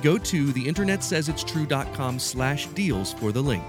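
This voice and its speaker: Animated male podcast host over music. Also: fairly high pitch, normal speed. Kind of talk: scripted narration.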